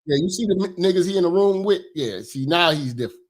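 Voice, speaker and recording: A man hosting a comedic commentary, talking loud, profane and animated, sometimes shouting. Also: high voice, fast talker. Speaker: commentary host.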